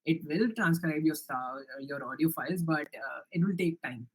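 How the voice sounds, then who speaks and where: A young man with an Indian accent presents his software project in a live online demo, answering questions earnestly with frequent restarts and fillers. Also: fairly high pitch, moderate pace, slightly rough, rough recording. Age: young adult.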